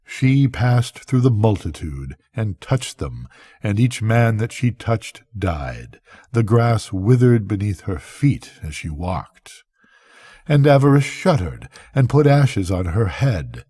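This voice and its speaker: An older man reading a bedtime story as a grandfather, with measured, deliberate phrasing and pauses. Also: on the low side, sing-song, dark tone, husky, no room sound, clean studio sound.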